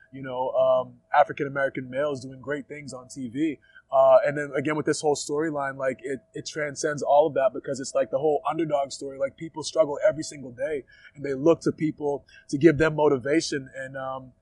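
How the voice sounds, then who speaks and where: A man's voice: a little high, a little mellow, dry recording, poor audio. A man in his thirties from Ghana, talking casually in an interview and leaning on "like" and "you know" as he goes. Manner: easygoing, lots of "like" and "you know" fillers, laughs often. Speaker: wrestler being interviewed.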